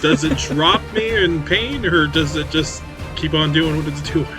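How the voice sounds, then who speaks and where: A man with a fairly high voice plays at a tabletop game. His talk is casual and wry with some swearing, and music is clearly audible behind him. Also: measured pace. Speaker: player in a tabletop roleplaying game. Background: music bed.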